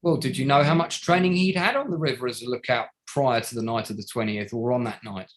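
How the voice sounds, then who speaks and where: A man playing a pointed, methodical barrister in a courtroom drama table read, cross-examining formally and deliberately with leading questions. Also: mid-range voice, lightly acted. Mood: pointed, critical. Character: pointed, methodical male barrister for bereaved families.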